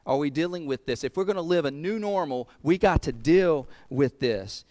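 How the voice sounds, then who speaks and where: A man's voice: on the high side, animated, normal speed, a little mellow, no echo. A man with a Southern US accent preaching a sermon, earnest, often repeating words as he builds a point. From American South. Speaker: pastor.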